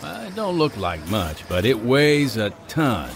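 A man's voice: medium pitch, big pitch swings, a little slow, creaky, a little hiss or hum. A man playing a teasing, folksy truck driver in a radio drama. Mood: easygoing.

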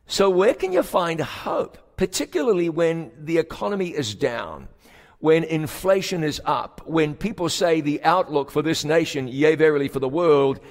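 A male pastor delivers a sermon in a raised, fairly high voice. He stretches key words for emphasis and sinks to a quiet hush at serious moments. A long hall reverb trails each phrase, as from a stage mic in a large sanctuary. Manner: emphatic preaching with drawn-out key words and sudden hushed drops.

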